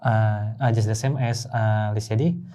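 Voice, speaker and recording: A man's voice: on the low side, fairly even intonation, normal speed, dark tone. A man, a technical engineer on a roundtable panel, speaking hesitantly and repeating words. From Indonesia.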